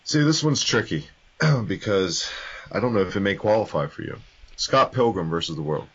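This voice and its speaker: A man's voice: fairly low pitch, lively intonation, normal speed, breathy edge. A man co-hosting a casual podcast, laid-back and opinionated, swearing casually. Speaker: podcast co-host. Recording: dull lo-fi audio.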